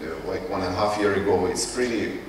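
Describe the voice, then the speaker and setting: A man with a Ukrainian accent gives an informal conference presentation in non-native English, haltingly searching for words. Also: sits low. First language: not English. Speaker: conference speaker, company CEO. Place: conference hall. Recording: echoey hall, distant mic, faint noise.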